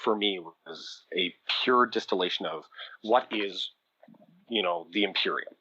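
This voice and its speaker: A Canadian man chatting as a podcast guest, telling stories in an animated, conversational way and quoting people as he goes. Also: deep voice, unhurried, no room sound, lo-fi sound. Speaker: podcast guest, audio drama producer. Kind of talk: conversational interview storytelling.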